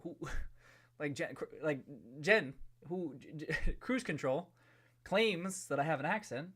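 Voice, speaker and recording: A man's voice: on the high side, expressive, slow, a bit bright, slightly rough. A man, hosting a livestream and chatting loosely with viewers, with many restarts and trailing phrases. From New England. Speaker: livestream host. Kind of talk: casual livestream chat reading viewer comments.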